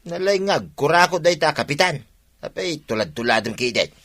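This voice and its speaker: A man's voice: on the high side, expressive, moderate pace, fairly bright tone. A man acting in a radio drama as a lazy, idle husband, grumbling and defensive as he whines. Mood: scornful.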